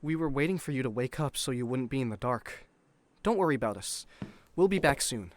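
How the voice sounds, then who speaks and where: A man's voice: medium pitch, animated, brisk, slight background noise, natural voice. A man playing an easygoing, candid spaceship crewmate in an audio drama, casual and reassuring.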